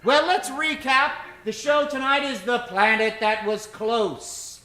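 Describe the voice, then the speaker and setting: A man's voice: very high-pitched, steady tone, slow pace, bright, forceful tone. A man working as an opening announcer for a live mock old-time radio show, declamatory and showy. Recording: roomy echo, music behind the voice. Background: music.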